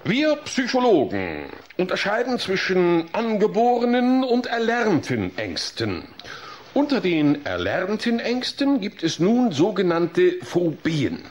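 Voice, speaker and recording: A wildly expressive, fussy psychologist. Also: male voice, sits high, slow pace, exaggerated voice. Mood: earnest lecturing. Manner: didactic, expressive, coaxing. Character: fussy, earnest, patient male psychologist and therapist. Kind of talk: German children's audio drama acting.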